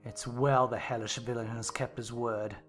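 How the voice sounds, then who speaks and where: A woman's voice: extremely low pitch, level tone, moderate pace, faint music behind, pitched below the performer's own voice. A woman voicing a grim, surly country master, brooding and vengeful, growling with menace in a dramatized novel reading. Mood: growling anger.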